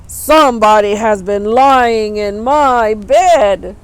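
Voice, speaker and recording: A woman voicing a great big grumpy papa bear in a children's storybook, booming and indignant. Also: slow pace, smooth. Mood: loud indignation. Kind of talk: children's storybook read-aloud.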